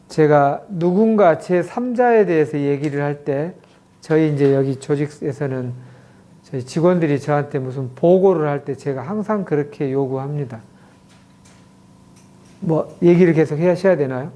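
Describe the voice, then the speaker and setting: A man's voice: fairly high pitch, warm tone. A middle-aged man, a clinic director, lecturing an audience on communication and acting out example quotes as he explains. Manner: explains slowly with many acted example quotes.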